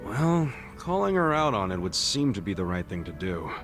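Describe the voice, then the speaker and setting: A man's voice: fairly low pitch, big pitch swings, moderate pace. An actor playing an earnest, plain-spoken male friend in a video game, talking colloquially and sympathetically with an American accent. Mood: concerned. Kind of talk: video game dub, conversational. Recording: music behind the voice.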